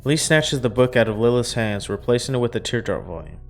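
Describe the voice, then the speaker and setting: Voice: mid-range voice, expressive, quick pace. A game player reads visual novel narration aloud in a storytelling style. Casual side comments break in, and there is faint music underneath.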